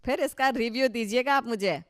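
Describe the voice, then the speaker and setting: A woman's voice: a little high, brisk, close to an everyday voice. Woman as proud, touchy older mother-in-law. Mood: proud, gracious. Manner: self-satisfied, emphatic. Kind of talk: Hindi cartoon story dialogue.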